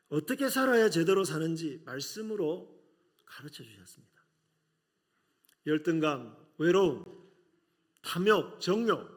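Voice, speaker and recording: A middle-aged man with a regional Korean accent preaching a sermon to a congregation, earnest, with rhetorical questions. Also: a little high. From South Korea, outside Seoul. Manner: earnest, rhetorical questions, frequent filler "yes". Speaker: preacher, seminary professor.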